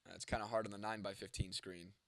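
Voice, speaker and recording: A man's voice: lowish voice, level tone, very fast, dry recording. A young man hosting a casual livestream and reading out viewer comments. He is congested and losing his voice, with a cough, and talks in a laid-back way with casual swearing. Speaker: livestream host.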